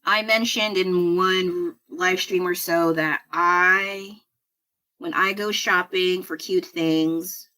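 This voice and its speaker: A woman with a fairly low yet bright, forward voice chats casually at her home desk as she draws. She rambles from thought to thought, pokes fun at herself and laughs often. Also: fairly even intonation, takes it slowly. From United States. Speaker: livestreaming artist. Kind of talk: casual chat while drawing.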